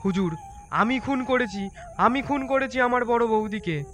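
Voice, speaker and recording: A man performs a distraught village husband from a dramatized story. His voice is high and tearful. He pleads with authority, repeating his phrases in desperation. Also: animated, moderate pace, a bit bright. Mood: frantic confessing. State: crying.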